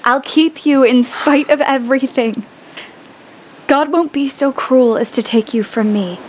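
A woman's voice: high voice, measured pace, slight background noise. A young woman playing a devoted, stubborn older sister who refuses to accept loss in a period audio drama, urgent, emotional and insistent. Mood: desperate, determined.

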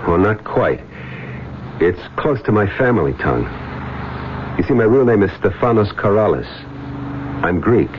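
An actor playing a wry, bewildered diver recounting an undersea adventure in old-time radio drama style, with dramatic delivery. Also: male voice, on the low side, very animated, slight background noise. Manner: dramatic, halting when alarmed. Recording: muffled old radio recording, music behind.

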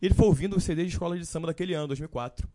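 A man in his early twenties with a Carioca Portuguese accent, telling his story as a guest in a casual live-stream chat, relaxed and full of fillers. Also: fairly high pitch, racing, dry recording, clean studio sound. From Rio de Janeiro, Brazil. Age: 20s. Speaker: guest musician.

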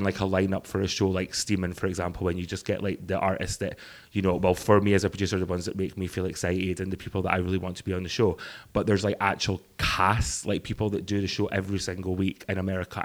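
A man with a croaky voice, lower than most, talks in a loose, rambling way. He fills his sentences with ums and little laughs as he chats. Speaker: drag performer and show producer. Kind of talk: casual podcast chat.

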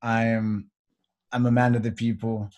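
A young man in his twenties gives a playfully formal self-introduction, ticking off facts one by one. His voice stays flat and steady at a middle pitch. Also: normal speed, highs cut off. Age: 20s. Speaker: software engineer.

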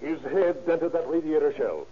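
A man's voice: fairly high pitch, lively intonation, moderate pace, breathy edge. An actor playing a skeptical, accusing male police detective, sardonic and pressing, in old-time radio drama style. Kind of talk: 1930s-40s radio acting. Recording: dull, noisy old radio recording.

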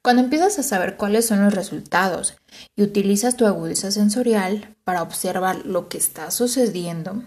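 Female lecturer, measured explanatory delivery with frequent fillers, roomy sound. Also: medium pitch, darkish tone. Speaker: teacher explaining language learning.

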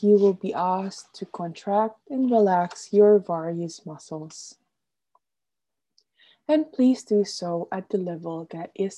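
Female meditation guide, extremely soft dark tone, unhurried delivery, soothing and gentle, phrases trailing off softly. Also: lowish voice. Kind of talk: guided relaxation meditation, scripted instruction.

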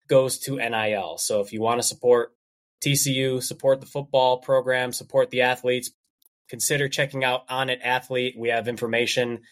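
Male podcast host, slightly congested, upbeat patter, casual sports chat. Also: medium pitch. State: congested from allergies.

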